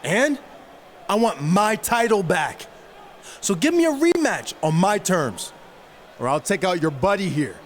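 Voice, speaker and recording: A man's voice: sits high, big pitch swings, a little slow, long pauses, a little hiss or hum. A man playing a resentful, sneering villain wrestler in a video game, delivering a taunting promo about his lost title. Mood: menacing.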